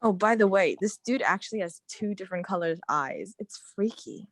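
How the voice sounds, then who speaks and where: A woman's voice: mid-range voice, moderate pace, dry recording, natural voice. A woman acting a blunt, teasing young emergency medical technician in a screenplay table read.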